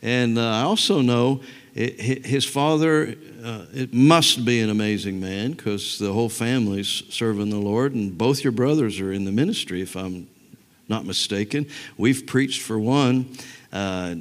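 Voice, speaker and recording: A male pastor introducing a guest speaker from the pulpit, in a preacher's style with amens. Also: on the low side. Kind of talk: sermon. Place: church sanctuary. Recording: church hall reverb.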